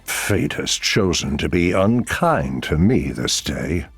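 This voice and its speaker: Game veteran: grave old fighter, low gravelly voice, solemn. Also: male voice, very animated, takes it slowly, soft background music, heavily acted.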